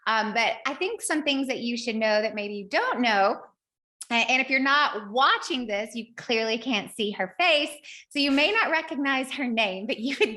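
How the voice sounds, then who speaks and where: A woman's voice: on the high side, bright, forceful tone. A woman co-hosting a podcast, chatting in an effusive, gushing way as she introduces and interviews. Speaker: podcast co-host.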